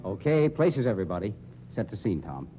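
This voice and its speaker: Casting: bantering publishing boss, low, fast talker, old radio mystery show. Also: male voice, very animated, soft background music. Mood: brisk banter. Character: brisk male head of a mystery publishing house. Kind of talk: old-time radio drama acting. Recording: dull old radio recording.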